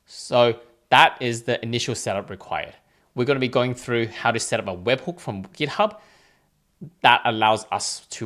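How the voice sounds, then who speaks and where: A man's voice: medium pitch, a bit bright. Man narrating a step-by-step tech tutorial. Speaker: tutorial host. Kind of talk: instructional screencast narration, step by step.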